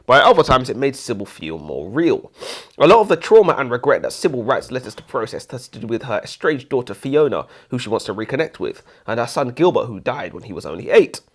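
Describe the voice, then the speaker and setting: An animated British man in a casual book discussion. His pitch moves around a lot as he argues his opinions bluntly and informally, in the role of a co-host. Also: fast, few pauses. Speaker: book review podcast co-host.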